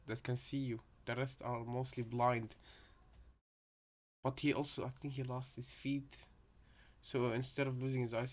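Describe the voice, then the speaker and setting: A man talks casually as he reacts on a livestream. His voice sits at a middle pitch, and his delivery is hesitant and rambling as he works out his thoughts. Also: darkish tone. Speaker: streamer. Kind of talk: casual live reaction commentary.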